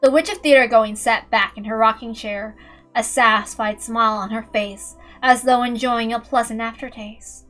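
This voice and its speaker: Woman, high-pitched voice, amateur story reader, dramatic narration, faint background music. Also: fairly even intonation, moderate pace, a bit bright.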